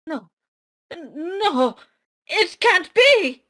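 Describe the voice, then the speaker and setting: A woman voicing a stunned, emotional female fantasy character, halting and disbelieving, in a table read. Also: extremely high pitch, big pitch swings, sharp tone, exaggerated voice. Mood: distraught.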